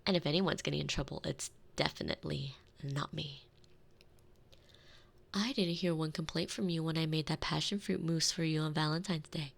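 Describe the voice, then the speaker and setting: A woman's voice: low voice, fairly even intonation, normal speed, vocal fry. A woman playing a sardonic, thrifty retired villainess turned doting housewife in an ASMR roleplay, with wry, teasing, theatrical delivery. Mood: fond, teasing. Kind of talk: scripted ASMR roleplay audio drama. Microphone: close binaural microphone.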